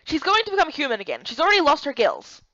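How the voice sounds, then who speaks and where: A commanding, short-tempered young fantasy leader acted out by a woman snaps out loud orders. The voice is high and sharp in delivery, with a dry, slightly dull game-dub sound. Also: big pitch swings, fast talker, no room sound, full character voice. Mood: urgent.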